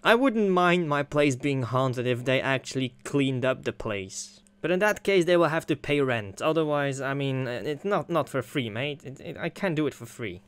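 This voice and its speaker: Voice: medium pitch, expressive, moderate pace, hardly any pauses, slight background noise. A gameplay commentator reading a visual novel aloud, informal and rambling, repeating phrases for emphasis. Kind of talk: let's play commentary with voiced dialogue. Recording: music behind the voice.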